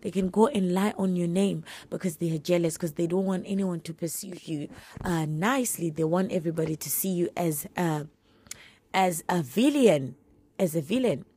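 A woman with a hoarse, husky voice from a flu, giving earnest, encouraging faith-based advice straight to her listeners. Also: fairly low pitch, normal speed, warm tone. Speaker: podcast host.

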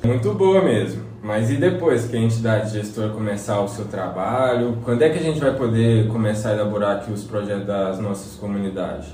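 A man's voice: fairly low pitch, brisk, few pauses, mellow, close to an everyday voice. A man with a Brazilian accent acting an interested community member in an educational radio play. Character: interested community man asking about next steps. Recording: echoey room, distant mic, faint noise.

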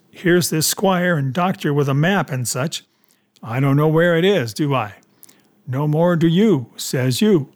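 A man's voice: a little high, close to an everyday voice. Man playing a cunning, scornful pirate sea cook. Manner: emphatic, rustic seafaring speech. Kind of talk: dramatised adventure audiobook.

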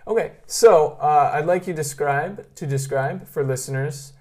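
A man interviewing a guest in a casual, friendly way, full of fillers and quick affirmations. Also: fairly high pitch, darkish tone.

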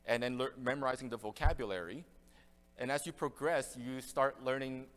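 A male pastor from Canada, preaching an earnest, conversational expository sermon with frequent restarts. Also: medium pitch. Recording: reverberant church hall.

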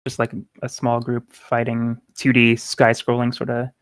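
A man co-hosting a casual podcast in a relaxed way, hedging with "like" and "sorta". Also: medium pitch, unhurried, no room sound. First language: English. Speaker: podcast co-host.

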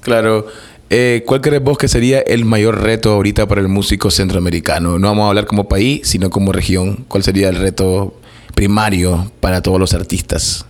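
A man's voice: lowish voice, little pitch movement, fairly quick. A man. He speaks as an interviewer, conversational in the way he asks and comments.

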